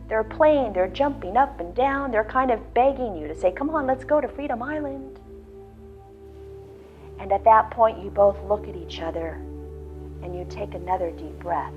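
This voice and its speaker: Soothing woman guiding a meditation. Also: medium pitch. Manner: slow, soothing, drawn-out phrasing with soft pauses. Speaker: guided meditation leader. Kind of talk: guided visualization. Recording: slightly dull lo-fi audio with faint background music.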